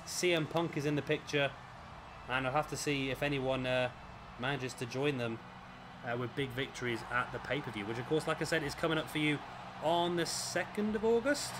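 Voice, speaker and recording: A man with a raspy, fading voice, commentating on a wrestling video game as the show's host. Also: medium pitch, fairly quick. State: hoarse, voice fading. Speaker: host and commentator of a gaming series. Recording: clearly noisy background.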